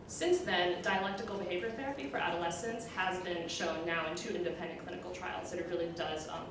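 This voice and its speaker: Fast-talking woman lecturing in an echoey room. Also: lowish voice. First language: bilingual, Puerto Rican background. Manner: explanatory, frequent ums and "you know". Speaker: researcher giving a lecture. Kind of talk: academic lecture with slides, semi-conversational. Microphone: distant room microphone. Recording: roomy echo, distant mic, clear background noise.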